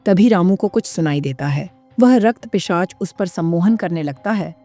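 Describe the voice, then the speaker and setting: Lively female horror-story narrator over music. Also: on the low side, normal speed. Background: prominent music.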